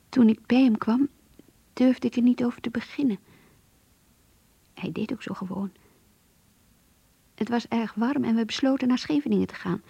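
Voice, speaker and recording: A shy young woman confiding her diary in a radio drama. Her voice is very breathy and husky, often near a whisper, with a soft mellow tone. She is hesitant and yearning, recorded close and dry. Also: fairly high pitch, dry recording, lo-fi sound, full character voice. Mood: anxious, confiding.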